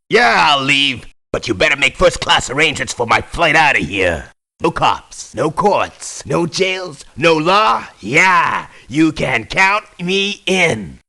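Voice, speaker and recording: A forceful, sharp-edged male mercenary for a video game. He is a tough ex-con, surly and blunt, with a lowish voice that swings widely in pitch and takes its time over short, defiant lines. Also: creaky, full character voice. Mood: bold, eager. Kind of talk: video game voice acting, short barked one-liners.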